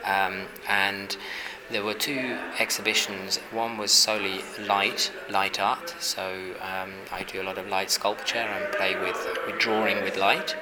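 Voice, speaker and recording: A man from Hungary, an artist, answering interview questions thoughtfully, with many pauses, fillers and restarts. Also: deep voice, level tone. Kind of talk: exhibition interview. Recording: noisy ambience at an exhibition.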